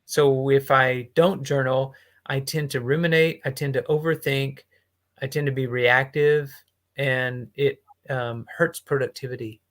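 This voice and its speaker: A man's voice: medium pitch, fairly even intonation, a little slow, a bit bright. A man hosting a casual conversation, thinking aloud in a reflective way as he puts his thoughts together. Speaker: host.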